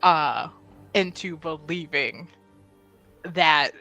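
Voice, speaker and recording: A woman's voice: sits low, drawn-out words, sharp tone, faint music behind. A woman chatting casually as a player in a tabletop roleplaying game.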